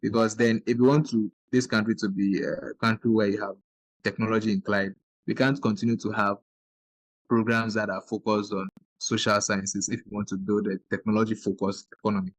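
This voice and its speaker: A man's voice: fairly low pitch, level tone, brisk, no room sound, poor audio. A man from Ghana, hosting a podcast and explaining his points earnestly. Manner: earnest, explanatory, with brief backchannel agreements. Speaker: podcast host. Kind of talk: podcast conversation.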